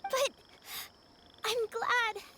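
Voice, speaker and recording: A woman voicing a gentle, earnest young girl in a video game, with a soft, childlike voice. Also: very high-pitched, extremely slow, exaggerated voice. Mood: earnest, tender. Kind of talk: video game character acting.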